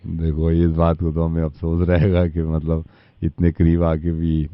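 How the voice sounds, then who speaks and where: A man playing a solemn middle-aged father on a prank call, slow, apologetic and paternal, with a deadpan delivery. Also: rumbling low, clear, resonant tone, dry recording, muffled recording, pitched below the performer's own voice.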